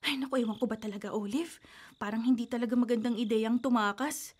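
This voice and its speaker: An actress playing a timid, worried young daughter who hesitates before sneaking out, in a radio drama. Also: female voice, on the high side, fairly even intonation, fast talker, a little mellow, husky.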